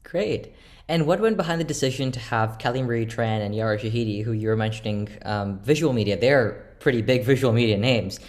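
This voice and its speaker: A man's voice: mid-range voice, fairly quick. A male interviewer politely delivering prepared introductions and questions in a formal manner.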